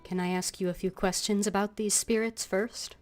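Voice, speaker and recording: A woman's voice: medium pitch, fairly even intonation, normal speed, soft background music. A woman streaming a game, voicing her character's lines aloud with casual, wry asides.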